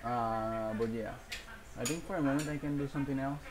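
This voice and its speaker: A man's voice: mid-range voice, brisk, noisy background. A man chatting casually on a livestream as a gaming streamer, rambling and hesitant and often repeating himself.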